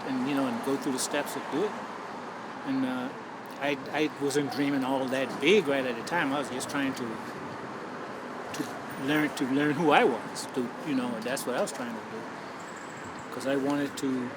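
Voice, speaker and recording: A man in his seventies with a Bahamian accent, frankly reflecting on his life in an interview, often pausing and restarting. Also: mid-range voice. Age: 70s. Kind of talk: candid street interview. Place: outdoors on a city street. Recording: loud street traffic noise. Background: city traffic.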